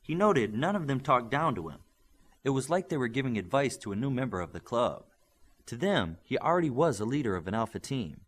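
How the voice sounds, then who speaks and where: A man narrating an audiobook in an expressive, steady storytelling manner. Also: mid-range voice, brisk, breathy edge. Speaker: audiobook reader. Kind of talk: scripted narration.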